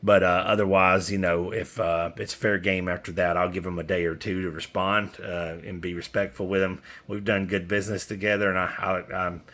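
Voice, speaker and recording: Man, low bright voice for an informal, rambling seller's demo; faint noise behind. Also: level tone, moderate pace, few pauses. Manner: informal, rambling, hands-on while talking. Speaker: seller demonstrating used radio gear. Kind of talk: casual product demo chat. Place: indoors at a desk with radio equipment.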